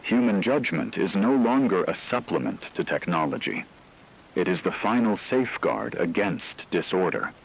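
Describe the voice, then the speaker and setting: A man voicing a grave radio news anchor, speaking in a solemn, measured, formal way as in a scripted emergency broadcast. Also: deep voice, expressive, gravelly, breathy edge, exaggerated voice, low-quality recording. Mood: grave, urgent.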